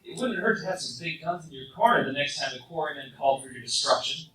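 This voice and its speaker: A man's voice: a little high, lively intonation, quick pace, a bit bright. A man playing an eager young superhero, chatty and a little sheepish, in a live radio play. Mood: eager pleading. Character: eager, fast-talking young male superhero. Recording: very echoey, distant live recording.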